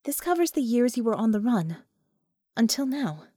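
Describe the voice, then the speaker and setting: A woman's voice: on the high side, big pitch swings, normal speed, warm tone, no room sound, clean studio sound. A woman narrator voicing a frank, savvy female mage in an audiobook, matter-of-fact in manner. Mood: explanatory.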